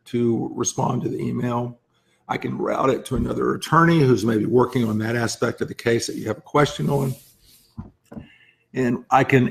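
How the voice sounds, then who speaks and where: A man with a hoarse, laryngitic voice full of gravelly creak speaks at a slightly varying, medium pitch. He explains things informally to viewers in the manner of a lawyer giving advice. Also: poor audio. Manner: frequent throat clearing. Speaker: lawyer hosting a firm's advice segment. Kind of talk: informal explanatory talk to camera.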